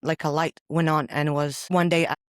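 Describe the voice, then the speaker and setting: Deep-voiced woman, earnest and halting. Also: flat delivery, no room sound, studio quality. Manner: earnest, halting, many pauses. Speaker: podcast guest. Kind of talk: personal faith testimony in conversation.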